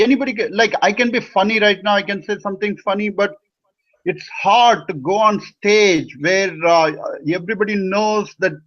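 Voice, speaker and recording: A man's voice: high voice, fairly bright tone. A man interviewing casually over an internet call, wordy and often repeating himself with "you know". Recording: dull lo-fi internet call audio.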